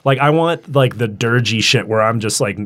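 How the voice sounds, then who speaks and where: A man's voice: medium pitch, moderate pace, fairly bright tone. A man who fronts a band, talking loosely in a casual podcast chat with lots of fillers. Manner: loose, laughing, filler-heavy. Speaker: band vocalist guest.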